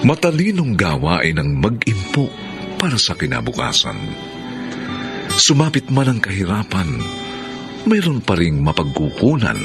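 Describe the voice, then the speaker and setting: Casting: Filipino man as radio drama narrator, deep, slow, deliberate and poetic with expressive swings. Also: music in the background. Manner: solemn, deliberate. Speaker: narrator and program host.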